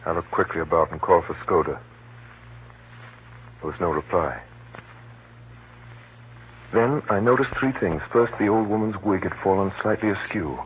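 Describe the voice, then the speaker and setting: A man playing a grave, resourceful adventurer, telling his own story as narrator of a 1930s radio thriller in a measured, suspenseful way. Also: sits low, natural voice. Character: resourceful South African adventurer recounting his peril, grave. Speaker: narrator and lead actor. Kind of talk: dramatic first-person narration, 1930s radio acting. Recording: tinny, hissy 1930s radio recording.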